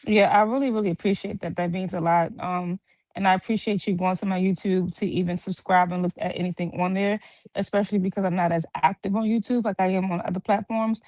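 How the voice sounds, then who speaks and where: A woman in her thirties talks in an earnest, reflective way, taking her time over her own story. Her pitch sits at an ordinary middle height for a woman. The sound is dry and close, with no room around it. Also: steady tone, fast, a little mellow, no echo. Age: 30s. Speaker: guest. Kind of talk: casual chat. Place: hospital. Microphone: video call.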